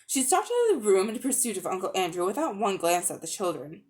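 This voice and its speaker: A woman reading a children's story aloud in an expressive, scripted narrator's style. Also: mid-range voice, fast talker.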